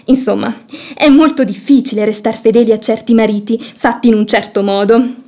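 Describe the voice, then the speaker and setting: A woman plays a sharp-tongued, outspoken maid in a radio stage comedy. Her high voice is pert and insistent. She delivers everything with cheeky sarcasm and theatrical flair. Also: steady tone, brisk, close to an everyday voice.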